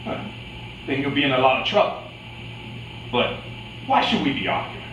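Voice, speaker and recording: A man's voice: medium pitch, sing-song, normal speed, a bit bright, dull audio. A man in an amateur staged reading playing a scheming fight fixer, bossy and condescending, with a veiled menace. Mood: condescending, insistent. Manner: wheedling yet menacing, condescending. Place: echoey indoor room, staged reading. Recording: distant echoey room, faint noise.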